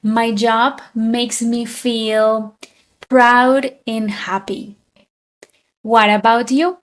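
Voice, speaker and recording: A woman in her thirties from Colombia leads a beginner English lesson. She speaks in a fairly high voice, extremely slowly, and lays out each simple phrase with care before repeating it. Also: flat delivery. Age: 30s. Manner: very slow, deliberate, with frequent repetition. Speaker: English teacher.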